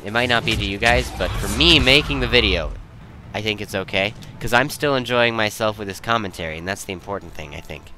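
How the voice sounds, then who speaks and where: A young man with a fairly low voice talks over clearly audible music. He rambles casually as a video commentator, wandering from one thought to the next. His manner is easygoing and self-deprecating. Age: young adult.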